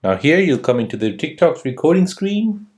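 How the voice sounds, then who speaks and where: Male tutorial host, slightly high-pitched, animated step-by-step instructional read. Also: normal speed. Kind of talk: scripted step-by-step app tutorial narration.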